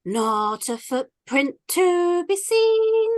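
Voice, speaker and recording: A woman's voice: very high voice, very animated, extremely slow, darkish tone, exaggerated voice. A woman acting a brash, flippant middle-aged party performer in a theatrical, defiant way for a script read-through. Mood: singing softly, grandly.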